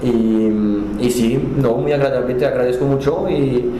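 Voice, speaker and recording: A man's voice: medium pitch, fairly even intonation, mellow, heavy reverb, slight background noise, rough recording. A man from France speaking Spanish with a French accent, chatting as an interview guest and often restarting his words. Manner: halting, restarts words, friendly. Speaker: guest, cultural center director. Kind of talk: casual conversational interview.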